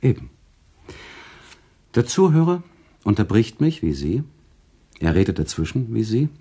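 An actor playing a playful, teasing author-storyteller in a literary radio play, with deliberate, rhetorical, theatrical phrasing. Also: male voice, lowish voice, very animated, dark tone, slightly rough, exaggerated voice. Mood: playfully insistent. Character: genteel male author-storyteller, playful, teasing, eager to tell.

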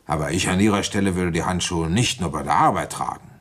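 A man's voice: low-pitched, quick pace, fairly bright tone. An actor in a radio drama playing a fatherly village police inspector in his fifties, weary with a cold and coaxing in manner. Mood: probing. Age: 50s. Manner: coaxing, paternal, pressing. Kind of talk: radio drama dialogue and narration.

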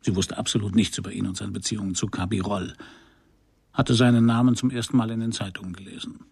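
An actor playing a laconic, courteous Parisian private detective in a radio crime drama, speaking and narrating with a dry, gravelly, husky voice. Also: male voice, lowish voice, fairly even intonation, fast talker, darkish tone, no echo, exaggerated voice. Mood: dry, wry. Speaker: detective and first-person narrator.

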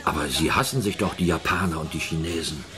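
A man's voice: extremely low pitch, fast. A male actor in a German radio play, playing a polite, sceptical tailor who speaks hesitantly and deferentially in questions. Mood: polite, puzzled. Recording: music behind the voice.

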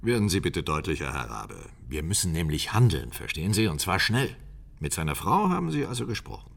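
A deep, creaky male voice with wide swings in intonation, playing a commanding state premier in a radio drama. He is formal and evasive, pressing others with smooth authority. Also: sing-song, brisk, a bit bright, a little hiss or hum, exaggerated voice. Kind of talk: naturalistic German radio drama acting.

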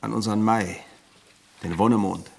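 An actor playing a sickly, scheming retired officer in a radio play, pompous and wheedling in stilted, flowery speech. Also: male voice, fairly low pitch, fairly even intonation, normal speed, creaky, a little mellow, husky. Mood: plaintive, wistful. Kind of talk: German radio play acting.

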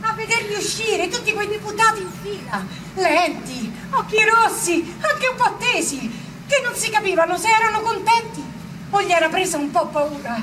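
A woman playing an excited townswoman who recounts historical events as vivid theatrical storytelling. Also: very high voice, moderate pace. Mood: excited wonder. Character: excited female Roman townswoman recounting the republic's birth. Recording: noisy, slightly distant ambient recording.

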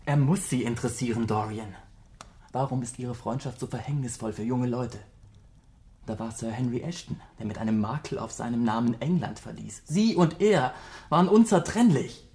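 An earnest gentleman painter in a radio play , acted by a man. His mid-range male voice is formal and urgent, that of a morally concerned friend who wants to be taken seriously. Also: medium pitch, normal speed, close to an everyday voice. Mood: grave, insistent. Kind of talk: radio drama acting.

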